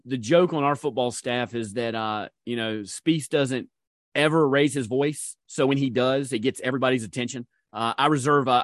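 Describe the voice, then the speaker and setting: A man's voice: mid-range voice, dry recording, clean studio sound. A man co-hosting a podcast, talking in a rambling way with many fillers and restarts. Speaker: podcast co-host. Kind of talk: casual podcast chat.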